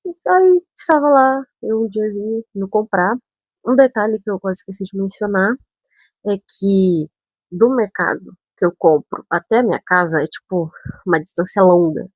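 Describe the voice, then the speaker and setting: A chatty young woman from São Paulo tells a story straight to camera. Her speech carries touches of a northern Brazilian accent. Her voice is a little husky and breathy, and she jumps into animated asides. The sound is close and dry, with no room echo. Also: mid-range voice, normal speed. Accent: northern Brazilian touches mixed with São Paulo speech. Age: 20s. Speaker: vlogger.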